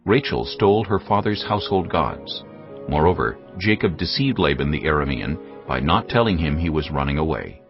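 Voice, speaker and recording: A man narrating a scripted dramatized audiobook, with music behind and a slightly dull sound. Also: sits low. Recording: dull studio recording with music.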